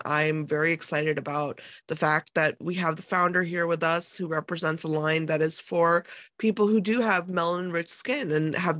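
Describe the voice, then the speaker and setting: A woman's voice: fairly low pitch, fairly bright tone, dry recording. A woman hosting a skincare podcast, enthusiastic and rambling with fillers as she introduces her guest. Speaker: podcast host. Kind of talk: podcast interview.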